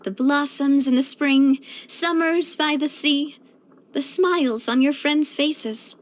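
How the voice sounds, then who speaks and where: A young woman voicing a cheerful, elegant young lady leader in a video game, earnest, chatty and expressive. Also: high-pitched, slow pace. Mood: warm, reflective. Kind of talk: video game character voice lines.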